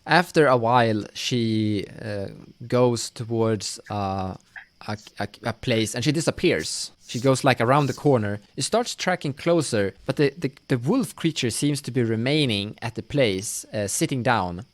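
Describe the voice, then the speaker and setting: A man narrating a tabletop fantasy game as game master, improvising haltingly and often repeating words. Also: mid-range voice, dry recording.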